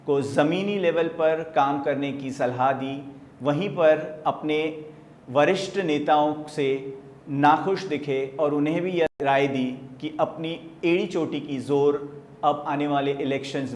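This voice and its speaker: A man with an Indian accent presenting a news report in halting phrases. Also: fairly high pitch. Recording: echoey room.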